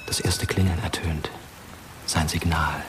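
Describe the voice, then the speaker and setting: A dead teenage boy narrating a radio drama in a very deep, understated voice. The delivery is plain and matter-of-fact, over noisy vehicle ambience. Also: fairly even intonation, unhurried. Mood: grave.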